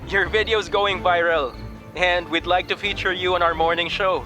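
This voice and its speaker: High-pitched, hesitant TV staffer. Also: male voice, fairly even intonation, measured pace, bright, forceful tone. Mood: polite, eager. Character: hesitant morning TV show staffer phoning. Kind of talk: Filipino radio drama acting. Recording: music behind the voice.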